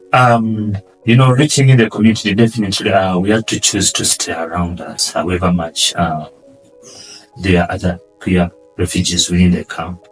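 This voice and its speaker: A man with a Ugandan accent, answering interview questions earnestly and often trailing off quietly. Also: deep voice, far from the mic, rough recording. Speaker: guest, asylum seeker.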